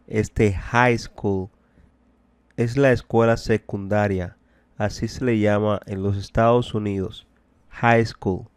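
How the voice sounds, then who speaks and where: A man teaching a bilingual language lesson, deliberately explaining, translating and repeating phrases. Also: fairly low pitch, steady tone, clear, resonant tone, no room sound. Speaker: English teacher.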